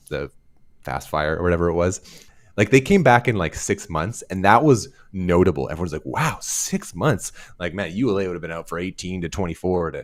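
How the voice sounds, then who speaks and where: A man co-hosting a podcast in casual chat, hoarse and gravelly from being sick, rambling and trailing off quietly. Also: low voice. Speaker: podcast co-host.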